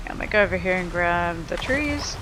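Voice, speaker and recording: A woman's voice: fairly low pitch, animated, brisk, noisy background. A woman giving casual commentary as she plays a video game, talking to herself and swearing offhandedly. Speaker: video game player recording a playthrough.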